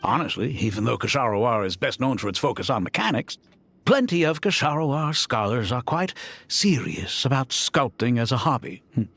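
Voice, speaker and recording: An actor voicing an eager, polite young male scholar in a video game, chatty, excitable and a little hesitant. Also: mid-range voice, normal speed, creaky, no echo, soft background music. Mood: curious, eager. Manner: hesitant, excitable, repeating himself.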